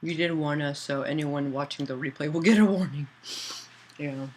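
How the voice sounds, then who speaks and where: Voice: medium pitch, normal speed. An author livestreaming to patrons, chatting loosely and answering chat messages aloud, with many fillers and restarts.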